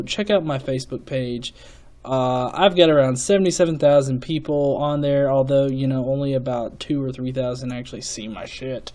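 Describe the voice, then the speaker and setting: Sarcastic, cursing vlogger with a high-ish voice. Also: male voice. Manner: profane, sarcastic, animated. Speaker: channel host. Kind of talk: casual vlog commentary.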